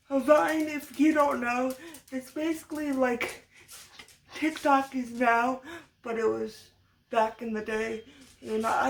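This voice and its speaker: A young woman losing her voice to allergies, talking through an unscripted commentary vlog in a halting way, full of restarts. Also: on the high side, rough recording. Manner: halting, many restarts and stutters.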